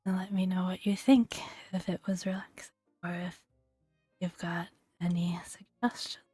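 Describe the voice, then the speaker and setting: A woman speaking softly in a hushed, near-whispered ASMR style, gentle and soothing as she narrates. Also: fairly low pitch, little pitch movement, moderate pace, slightly rough, no room sound, clean studio sound. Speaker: gaming ASMR video creator. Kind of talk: soft-spoken ASMR narration, reading and casual commentary. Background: faint soft music.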